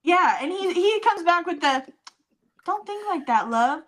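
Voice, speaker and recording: A woman with a high, bright and forceful voice chats as a podcast co-host. She reads things out with wry mockery and swears casually. Laughter breaks into her talk often, keeping it loose and comedic. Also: expressive, unhurried. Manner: wry mock reading of messages, swears casually.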